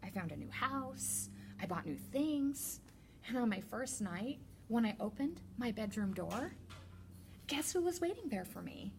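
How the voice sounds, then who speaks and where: A woman reading a children's picture book aloud as a teacher librarian, with deliberate, expressive storytelling. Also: fairly high pitch, creaky, a little hiss or hum.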